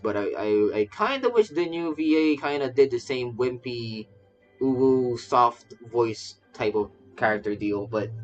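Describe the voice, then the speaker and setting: A man's voice: mid-range voice, muffled recording. A man livestreaming a game with casual, rambling commentary, often stammering and repeating phrases. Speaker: game streamer, VTuber. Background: faint game music.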